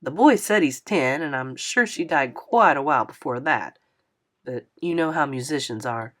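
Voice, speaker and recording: A deep-voiced older man with an easygoing drawl, as a woman puts him on. He ribs people good-naturedly and keeps his tone loose and playful. Storybook character from a read-aloud audiobook. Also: lively intonation, normal speed, fairly bright tone, full character voice. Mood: musing.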